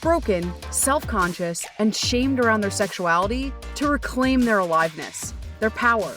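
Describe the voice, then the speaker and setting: A woman's voice: medium pitch, expressive, normal speed, a bit bright, faint music behind. A woman in her thirties hosting a podcast, talking in a bubbly, gushing way full of casual slang. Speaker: podcast host.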